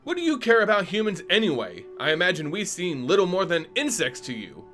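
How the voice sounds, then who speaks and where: A proud, strong-willed sorceress, as a male reader puts her on speaks in short, defiant lines. Her voice sits noticeably higher and brighter, with lively swings in pitch. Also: fairly quick, soft background music, heavily acted. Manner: pleading then defiant, clipped. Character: proud, determined woman sorceress. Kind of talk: dramatic story reading.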